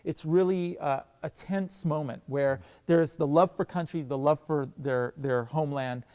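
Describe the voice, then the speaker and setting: Man, slightly high voice, thoughtful, enthusiastic, restarts often, long reverb. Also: measured pace, dark tone, smooth. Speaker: theatre director and professor. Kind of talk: relaxed interview answers.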